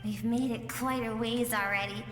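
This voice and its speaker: Woman acting a composed game storyteller, highish voice, measured n knowing, big reverb. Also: fairly even intonation, lightly acted. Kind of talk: scripted game dialogue. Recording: echoey reverb with music underneath.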